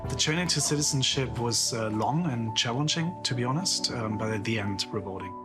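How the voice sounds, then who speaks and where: A man's voice: mid-range voice, animated, fairly quick, over loud music. A man from southern Germany giving a friendly, candid personal account.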